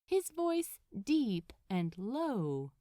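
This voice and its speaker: Expressive, unhurried woman narrating fiction. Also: on the high side, dry recording, studio quality. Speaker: podfic narrator.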